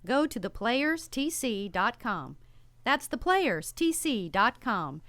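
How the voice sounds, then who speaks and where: Casting: woman for scripted theater promo. Higher voice, varied intonation, close dry sound. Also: very animated, slow, a bit bright, dry recording. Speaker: promo announcer for a community theater.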